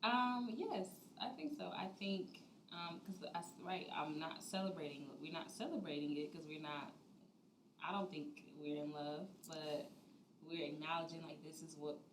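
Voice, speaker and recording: A woman's voice: sits low, moderate pace, reverberant, background noise. A woman in her twenties, a dinner guest, explains her view earnestly with lots of "like". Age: 20s. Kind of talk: casual dinner-table chat. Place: dining room at a dinner table.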